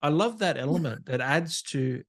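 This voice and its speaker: A man's voice: fairly high pitch, lively intonation, normal speed, fairly bright tone. An Australian man hosting a casual interview in an enthusiastic, informal and teasing way. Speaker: podcast host, interviewer. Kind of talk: casual chat.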